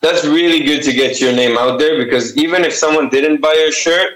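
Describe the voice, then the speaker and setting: A man's voice: on the high side, a little fast, a bit bright, slight background noise. Hesitant Israeli man in an echoey room. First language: Hebrew. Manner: hesitant, many restarts and fillers. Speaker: artist and t-shirt designer. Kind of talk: interview answers.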